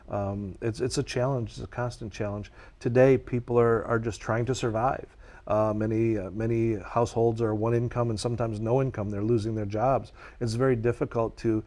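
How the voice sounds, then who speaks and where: A man's voice: on the low side, warm tone. A man whose first language is Czech, answering interview questions at length in an earnest, passionate way as a nature educator.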